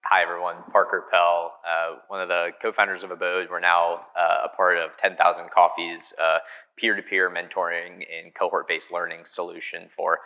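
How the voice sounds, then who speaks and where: An American man in his twenties speaks with a low, creaky voice and a bright edge. He addresses a live audience casually as a panelist. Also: flat delivery, normal speed. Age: 20s. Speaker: conference panelist, company co-founder. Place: indoor conference hall, on stage. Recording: reverberant conference hall.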